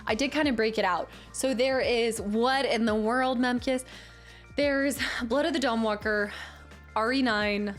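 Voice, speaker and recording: A young woman streamer chatting casually with her viewers, laughing and teasing herself as she reads the chat aloud. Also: a little high. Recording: faint music behind the voice.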